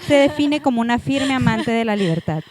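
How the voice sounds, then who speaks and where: A woman announcer reading a formal, scripted introduction of a guest speaker in a measured manner. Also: on the high side, expressive, over a noisy crowd.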